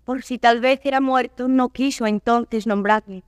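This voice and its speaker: An actress playing a proud, secretive young captive disguised as a man, speaking classical verse solemnly and deliberately. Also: a little high, fairly even intonation, clean tone, no echo, studio quality, close to an everyday voice. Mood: solemn, guarded. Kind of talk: classical Spanish verse drama, declaimed.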